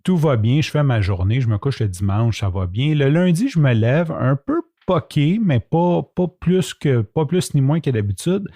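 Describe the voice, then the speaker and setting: A man's voice: medium pitch, very animated, normal speed, few pauses, warm tone, no room sound, clean studio sound. A man with a Québécois French accent, hosting a podcast and telling a personal story in a chatty, self-mocking way, often laughing as he talks. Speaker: podcast host. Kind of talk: casual solo storytelling.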